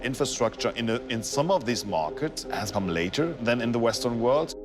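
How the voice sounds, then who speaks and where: A man's voice: medium pitch, sing-song, normal speed. A man speaking as an industry interviewee, giving his commentary. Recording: music behind the voice.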